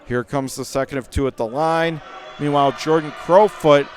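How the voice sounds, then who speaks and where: A man doing live radio play-by-play of a high school basketball game, speaking in clipped running phrases as the announcer. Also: moderate pace. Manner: clipped running phrases, swelling into excited calls during action.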